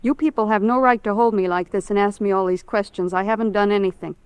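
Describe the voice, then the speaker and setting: A woman's voice: medium pitch, fairly even intonation, quick pace, clean tone, dry recording, close to an everyday voice. An actress playing a sullen, evasive female theft suspect in an old radio crime drama, curt and defensive. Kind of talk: mid-century radio crime drama acting.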